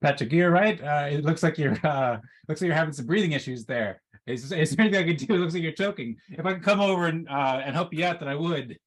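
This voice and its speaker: A man's voice: fairly high pitch, quick pace, hardly any pauses. A man hosting a video call meeting, thanking people and joking in a friendly way. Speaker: meeting host. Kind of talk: casual video call chat.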